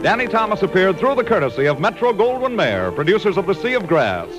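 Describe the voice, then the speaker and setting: A male American announcer in 1940s radio style, pitched high and very animated. He reads from a script over music on a vintage broadcast recording. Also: fast, highs cut off.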